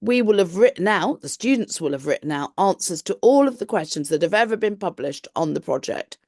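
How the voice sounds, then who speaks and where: A woman tutor gives an online introduction to a course, her voice croaky as she recovers from flu, explaining things in a friendly, reassuring way. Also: mid-range voice, animated, brisk, a little mellow, no room sound, studio quality. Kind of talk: online lesson talk.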